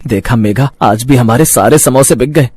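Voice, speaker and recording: A man's voice: mid-range voice, normal speed, darkish tone, husky, dry recording, natural voice. A man acting a hardworking, caring street food vendor and devoted husband in a cartoon moral story, with an earnest, affectionate manner. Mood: happy, grateful. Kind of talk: acted dialogue in a Hindi moral cartoon story.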